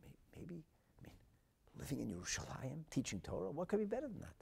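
A man with a North American accent giving a religious sermon as a rabbi, emphatic and dramatic, phrasing deliberately and repeating for effect. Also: on the high side, wildly swooping pitch, creaky, husky. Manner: emphatic and dramatic, swinging from hushed asides to loud declarations; deliberate phrasing with rhetorical repetition. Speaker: rabbi and Torah teacher.

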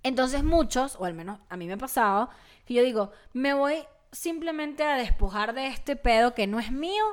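Mexican woman hosting a podcast, voice a bit high, chill and chatty. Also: normal speed. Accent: Mexican Spanish. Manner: chatty, reads aloud, relaxed. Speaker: podcast host. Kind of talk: casual chat.

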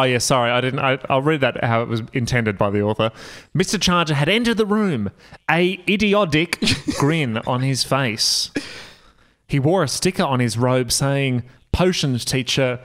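A man's voice: mid-range voice, unhurried, a bit bright. A man reading a story aloud for comedy, with joking asides and an amused delivery that often tips into laughter. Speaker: podcast guest reading aloud.

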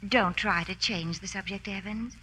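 A woman's voice: mid-range voice, normal speed, sharp tone, lightly acted. A woman acting a genteel, formal young heiress in an old-time radio drama. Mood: firm, uneasy. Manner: formal, proper. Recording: faint noise on old radio recording.

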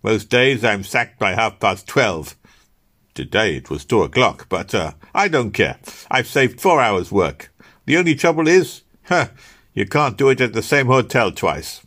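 A man's voice: fairly low pitch, very animated, a little slow, a bit bright, pitched above the performer's own voice, full character voice. A man voicing a cheeky young male waiter who boasts breezily about his scheme. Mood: boastful.

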